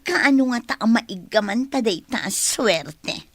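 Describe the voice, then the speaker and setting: A woman's voice: on the high side, lively intonation, takes it slowly, a bit bright, husky, no echo, heavily acted. A woman playing an impatient, greedy conspirator in a radio drama. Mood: impatient, petulant.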